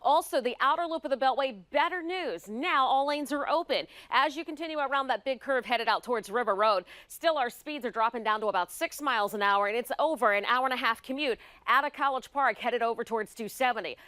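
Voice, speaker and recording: A woman's voice: a little high, fairly quick, few pauses, pushed and bright, dry recording. A woman giving a live morning traffic report.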